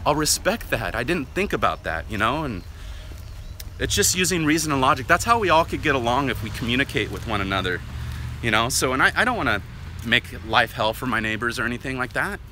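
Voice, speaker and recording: A man with a loud, piercingly bright voice talks his thoughts out while walking down a residential street, with no clip-on mic. He rambles in a chatty way and keeps checking in with his viewers. Faint traffic hums behind him. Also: medium pitch, big pitch swings, a little fast. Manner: rambling, chatty, often asks "right?". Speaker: vlogger. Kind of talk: casual walk-and-talk monologue. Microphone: no worn mic, camera or phone at hand.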